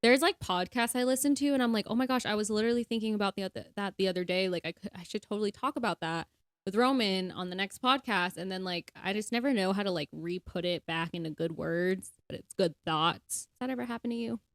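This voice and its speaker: A woman's voice: mid-range voice, quick pace, no room sound. Young woman chatting on a podcast, lots of fillers, laughs a lot, dry close mic. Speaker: podcast co-host. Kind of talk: casual faith-based podcast chat.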